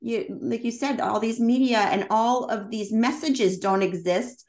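A woman's voice: fairly high pitch, unhurried, darkish tone. Enthusiastic American woman hosting a podcast. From United States. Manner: enthusiastic, affirming, chatty. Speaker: podcast host and parent. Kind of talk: podcast interview.

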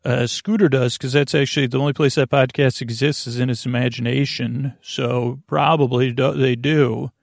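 A man hosting a bedtime podcast, talking in a meandering, halting way and often correcting himself as he winds listeners down for sleep. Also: mid-range voice, fairly even intonation, vocal fry, dry recording, clean studio sound. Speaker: podcast host. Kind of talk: rambling bedtime podcast intro.

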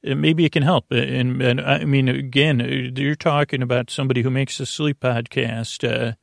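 A man with a mid-pitched voice full of vocal fry hosts a sleep podcast. He wanders through a whimsical monologue, stumbling and doubling back on his words while keeping the listener reassured and accompanied. The sound is dry, with no room around it. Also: fairly even intonation, dry recording. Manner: halting, meandering, stammering and repeating words; reassuring, companionable. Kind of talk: rambling, whimsical bedtime monologue.

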